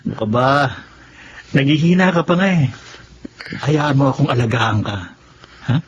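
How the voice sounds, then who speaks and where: A man's voice: medium pitch, animated, moderate pace, lightly acted. A man playing a caring, playful and loyal best friend in a radio drama, reassuring and teasing. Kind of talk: Tagalog radio drama acting. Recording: dull lo-fi audio, clear background noise.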